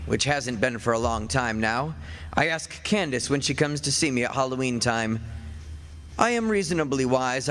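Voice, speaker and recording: A man's voice: mid-range voice, fairly bright tone, natural voice. Man playing a wry immortal scholar in reverb. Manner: reflective, conversational storytelling. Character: witch's husband, centuries-old learned man, matter-of-fact, wry. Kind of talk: old-time radio drama narration. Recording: reverberant stage hall, faint music.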